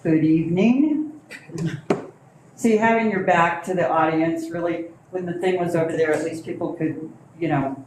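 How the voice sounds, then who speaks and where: A woman's voice: on the low side, moderate pace. A middle-aged woman, a longtime resident, giving a blunt, critical public comment at a school board meeting. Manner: blunt, critical, speaks up loudly. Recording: echoey meeting room, faint hiss.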